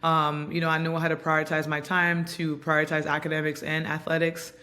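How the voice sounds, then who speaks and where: A woman in her thirties from Montreal, whose first language is Haitian Creole, giving reflective, earnest interview answers with frequent pauses and ums. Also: sits low, flat delivery, sharp tone. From Montreal, Canada. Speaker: guest, basketball player and coach.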